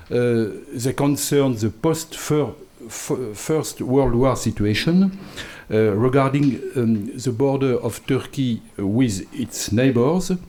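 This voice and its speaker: A man's voice: medium pitch, dark tone. A man with a French accent, a native French speaker, giving an academic lecture on law in careful, hesitant English full of fillers and restarts. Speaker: invited law professor speaker.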